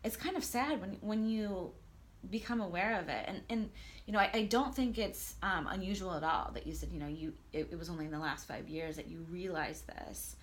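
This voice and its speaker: A woman's voice: lowish voice, brisk, few pauses. A woman life coach speaking as an interview guest, earnest and enthusiastic, sometimes stumbling over how she starts a thought. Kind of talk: conversational interview.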